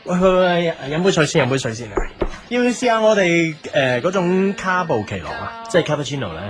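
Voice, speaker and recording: An actor with a Hong Kong Cantonese accent playing a friendly, chatty young café owner in a radio drama, easily flustered. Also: male voice, on the high side, sing-song, a little fast. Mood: eager, chatty. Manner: chatty, eager, stammers when flustered. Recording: music behind the voice.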